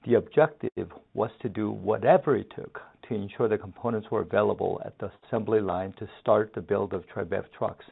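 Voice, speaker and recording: Gravelly male finance executive on phone line. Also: fairly low pitch, brisk, hardly any pauses. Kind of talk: scripted financial presentation. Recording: narrow tinny conference phone line.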